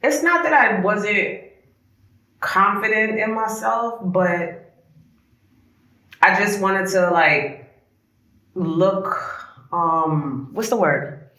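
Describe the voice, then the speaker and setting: A woman's voice: mid-range voice, extremely slow, pauses often, bright, forceful tone. A woman born in Trinidad, a spa co-owner, chatting frankly and reflectively in a casual interview. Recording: echoey room.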